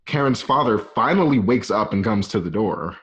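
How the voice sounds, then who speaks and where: A man informally narrating stage directions for a script in a halting, casual way. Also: lowish voice, lively intonation, fairly bright tone, breathy edge. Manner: halting, casual, present-tense scene description. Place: at home. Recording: dull, lo-fi home recording.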